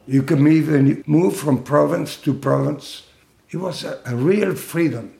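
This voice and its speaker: An older man from Italy, slowly recounting his life in a halting oral-history interview. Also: a little high, gravelly, mellow, reverberant. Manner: slow, halting storytelling with fillers and laughs.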